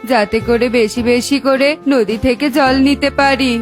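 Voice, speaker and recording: A woman's voice: high-pitched, fairly even intonation, moderate pace, over music, lightly acted. A woman voicing a greedy, scheming bird in a children's cartoon.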